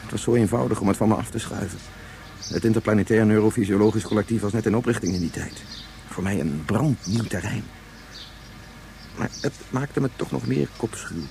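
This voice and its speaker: An actor playing an anguished, regretful scientist of great age in a Dutch science fiction radio play, speaking haltingly and turning back on his own words. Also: lowish voice, creaky, darkish tone, a little hiss or hum. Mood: brooding, regretful. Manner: halting, repetitive, ruminative. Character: anguished 177-year-old scientist, brooding, regretful father.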